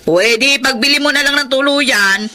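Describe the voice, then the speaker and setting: An actress playing a pushy, calculating older sister with a Filipino accent in a radio drama. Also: female voice, high voice, a little slow, bright, forceful tone. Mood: coaxing, scheming.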